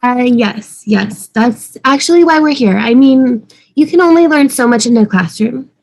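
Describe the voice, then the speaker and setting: Woman as a polite, earnest, high-voiced student. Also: expressive, moderate pace, darkish tone, poor audio. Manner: apologetic, careful, diplomatic. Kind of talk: fan table read of a TV drama.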